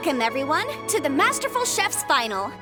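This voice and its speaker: A woman's voice: high-pitched, big pitch swings, a little fast, over loud music. A woman playing a young female official in a video game, announcing a contest in a formal, showy, polished way. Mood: formal, proud. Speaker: host and officiator.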